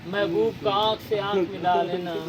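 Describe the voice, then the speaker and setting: A man's voice: high-pitched, fairly even intonation, measured pace, a crowd in the background, rough recording. A man from Punjab, Pakistan hosting an interview in a casual chat, speaking in an earnest, lecturing way with formal words and many fillers. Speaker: interviewer, channel host.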